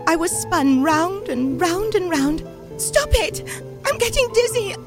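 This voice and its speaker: A woman's voice: very high voice, slow, over music, voice pushed up, heavily acted. A woman voicing a talking teacup in a storybook tale, theatrical, exclaiming and plaintive. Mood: distressed, pleading. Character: beautiful talking teacup, once clay, dramatic and plaintive.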